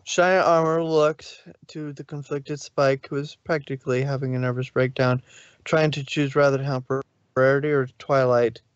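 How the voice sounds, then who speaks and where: A man's voice: mid-range voice, steady tone, moderate pace, clear, resonant tone, no echo, muffled recording. A man narrating a fan fiction story on a live stream, adding casual asides. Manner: plain reading with asides. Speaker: reader, narrator.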